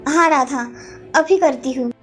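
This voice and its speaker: A woman's voice: high voice, measured pace, fairly bright tone. A woman voicing a meek, hurt young stepsister girl in a submissive, pleading way for a cartoon moral story. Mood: meek, gentle. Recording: background music under the voice.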